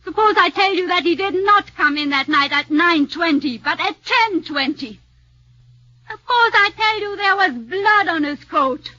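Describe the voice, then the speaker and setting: An actress playing a bitter, secretive, scornful wife in an intense 1940s radio drama style. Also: female voice, very high voice, normal speed, bright, forceful tone, no room sound. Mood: hateful. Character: bitter, defiant, secretive foreign wife turned accuser. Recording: dull 1940s radio recording.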